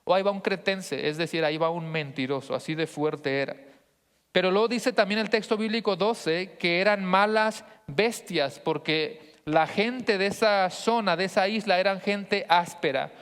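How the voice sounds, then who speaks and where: High-voiced Mexican pastor in a reverberant hall. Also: male voice, normal speed, a bit bright, clean tone. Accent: northern Mexican Spanish. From Monterrey, northern Mexico. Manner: conversational, frequent rhetorical check-in questions. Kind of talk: teaching sermon to a congregation.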